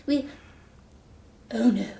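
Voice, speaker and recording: A woman's voice: fairly high pitch, very slow, gravelly, warm tone, exaggerated voice. A woman voicing a grim, commanding piece of bubble gum in an audio comedy, with clipped, dramatic pronouncements in a roomy space. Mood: tense, commanding. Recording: roomy sound, faint background noise.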